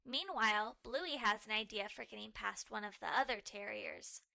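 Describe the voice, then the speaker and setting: A woman reading children's stories aloud as a storyteller, in an expressive, deliberate manner. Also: mid-range voice, creaky, no room sound. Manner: animated, deliberate, models fluent reading.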